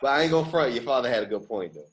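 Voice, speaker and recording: Guy hosting a laid-out casual chat, normal male pitch, jumps in and repeats himself. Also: expressive, very fast, heavy background noise. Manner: conversational, interjecting, repeats phrases for emphasis. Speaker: podcast host.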